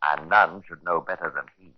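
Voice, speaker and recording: An actor playing a sardonic, macabre storyteller, narrating a 1950s radio crime drama with ironic relish and deliberate phrasing. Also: male voice, low voice, steady tone, a little hiss or hum, close to an everyday voice. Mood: sardonic, ominous. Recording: dull vintage radio recording.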